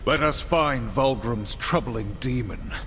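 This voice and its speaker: An actor playing a stern, humorless, dutiful warrior horseman in a video game, speaking gravely and formally. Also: mid-range voice, takes it slowly, a bit bright, slight background noise. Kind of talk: video game cutscene acting. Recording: long reverb, clear music behind.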